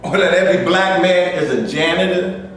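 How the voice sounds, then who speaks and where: A man reading the part of a mild-mannered peacemaker in a staged play reading, speaking in a calming, placating way. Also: high voice, normal speed. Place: theater stage before a live audience. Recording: distant voice on echoey stage, faint noise.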